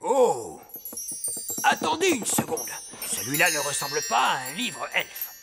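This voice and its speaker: Fussy old wise elf from a french cartoon, pompous, swoopy pitch, music behind. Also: wildly swooping pitch, a little slow, gravelly, exaggerated voice. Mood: flustered, indignant. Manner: pompous, scolding, hushing.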